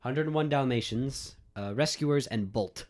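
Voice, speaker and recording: A man's voice: mid-range voice, unhurried, darkish tone. A nineteen-year-old man chatting casually on a podcast, rambling through nerdy trivia. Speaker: podcast co-host. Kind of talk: casual chat.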